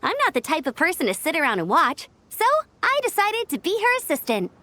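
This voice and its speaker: A woman's voice: high-pitched, wildly swooping pitch, brisk, pushed and bright, no echo, full character voice. A woman voicing an upbeat young scout in a video game, youthful, breezy and confident. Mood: cheerful. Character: upbeat, energetic young female scout, eager to help.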